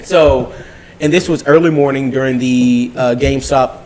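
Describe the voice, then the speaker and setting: A man telling a story in an animated, casual way, swearing freely in slangy speech and acting out both sides of arguments. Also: medium pitch, moderate pace, a little hiss or hum, poor audio. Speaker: podcast host recapping his weekend.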